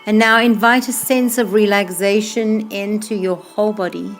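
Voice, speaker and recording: A woman yoga instructor leading a guided meditation in a slow, calm, soothing way, with unhurried phrases that trail off softly. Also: mid-range voice. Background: music playing behind the voice.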